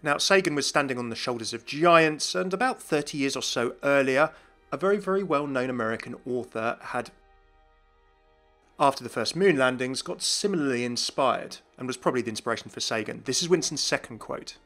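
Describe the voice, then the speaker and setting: A man's voice: medium pitch, lively intonation, brisk, fairly bright tone. A man hosting a gaming news video in scripted, explanatory voice-over narration. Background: music.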